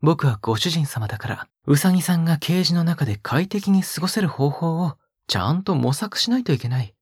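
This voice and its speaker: A male actor playing a possessive young captor in an audio drama, coaxing and teasing in a soft, breathy voice as if talking to a pet. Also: a little high, lively intonation, a little fast, dry recording, clean studio sound. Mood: mock concern. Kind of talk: intimate Japanese audio drama acting.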